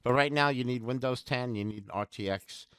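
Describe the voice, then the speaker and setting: A man's voice: mid-range voice, brisk, fairly bright tone, clear, resonant tone, no room sound. A man hosting a tech channel, giving an informal tutorial demonstration straight to viewers and repeating his points. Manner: informal, repeats himself, addresses viewers directly. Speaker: tech and gaming channel host.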